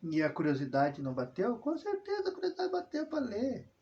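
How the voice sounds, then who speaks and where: A man's voice: high voice, wildly swooping pitch, a little fast, a little mellow. A man rambling through a casual personal monologue, full of tag questions and self-corrections. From southern Brazil. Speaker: religious video host. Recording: dull lo-fi sound.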